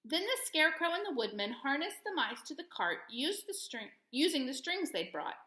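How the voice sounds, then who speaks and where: A woman reading a children's story aloud as a storyteller, with expressive delivery. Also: sits high, moderate pace. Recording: echoey room.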